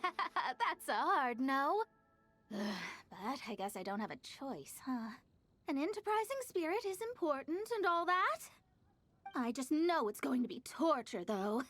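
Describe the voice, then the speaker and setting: A woman's voice: sits high, big pitch swings, a little slow, a bit bright, exaggerated voice. A woman playing a proud, sarcastic young student in an anime game, dramatic and haughty in manner. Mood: sarcastic, grudging.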